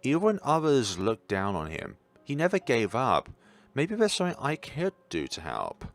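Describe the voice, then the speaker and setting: A man's voice: medium pitch, huge pitch swings, moderate pace, faint music behind. A man voicing an earnest, competitive young woman in a visual novel who is easily flustered. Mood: concerned.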